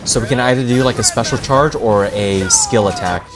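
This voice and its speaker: A man casually reacting as he plays a game live, slangy and excitable, like a streamer chatting to viewers. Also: lowish voice, normal speed. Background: game music.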